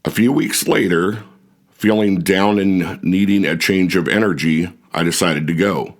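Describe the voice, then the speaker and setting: Deep-voiced male audiobook narrator. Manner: measured, even reading. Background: faint music.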